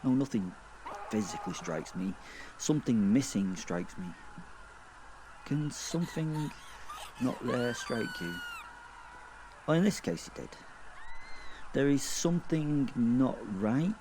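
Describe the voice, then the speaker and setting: A man voicing a crude, sardonic werewolf who narrates a dark fantasy audio drama in a halting, deadpan way. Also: medium pitch, background noise, voice pushed up. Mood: wry, musing. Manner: sweary, deadpan, wry, halting. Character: crude, sardonic male werewolf narrating his own afterlife.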